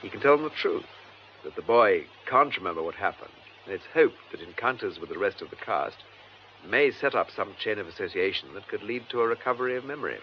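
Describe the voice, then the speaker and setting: Low, lively British police detective played by a man. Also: fairly quick, muffled recording, a little hiss or hum, close to an everyday voice. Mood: businesslike. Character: composed, shrewd gentleman police detective superintendent. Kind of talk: radio drama acting.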